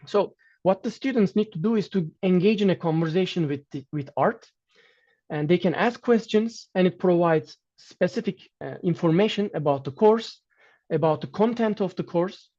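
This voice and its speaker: Guest lecturer, male, Turkish native speaker, fairly high voice, measured delivery with restarts, dull lo-fi sound. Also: dark tone. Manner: measured, with frequent restarts and fillers. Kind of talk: online academic lecture with slides.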